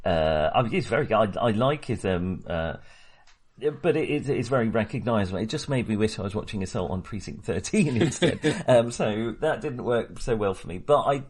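Male podcast co-host, lowish voice, informal film talk with a halting, hesitant delivery. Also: animated, breathy edge. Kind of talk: casual film discussion.

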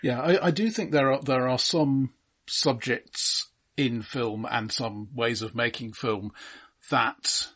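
Husky-voiced man discussing films dryly. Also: medium pitch, slow pace, fairly bright tone. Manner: dry, wry, analytical. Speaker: film podcast co-host. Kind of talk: casual film discussion.

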